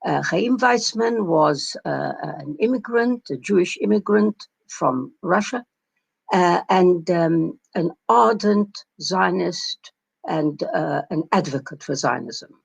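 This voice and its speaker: An older woman from Palestine delivers a keynote in a slow, deliberate way, with frequent pauses and hesitations. Her voice sits a little low and has a gravelly, husky texture. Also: expressive. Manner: measured, reflective, with many pauses and fillers. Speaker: keynote speaker. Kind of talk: conference keynote talk.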